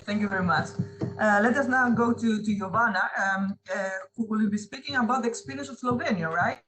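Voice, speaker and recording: A moderator thanking speakers and handing over the floor, with some fillers. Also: male voice, high voice, fairly quick, pushed and bright, poor audio. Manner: brief, with fillers. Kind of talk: moderating a panel. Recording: faint background noise.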